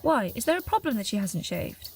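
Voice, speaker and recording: A woman's voice: fairly high pitch, sing-song, a little fast, husky, soft background music, heavily acted. A woman playing a candid, incredulous young woman in a naturalistic, chatty radio play, questioning and exclaiming.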